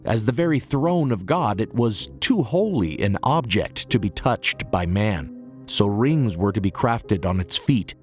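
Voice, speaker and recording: A hoarse man narrating a history podcast in a measured, earnest way. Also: fairly low pitch, animated, darkish tone, faint music behind. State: hoarse, recovering from illness. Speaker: podcast host.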